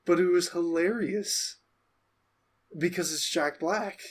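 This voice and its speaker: Slow-talking man in casual podcast chat. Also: sits high. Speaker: podcast co-host. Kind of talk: casual pop culture podcast discussion.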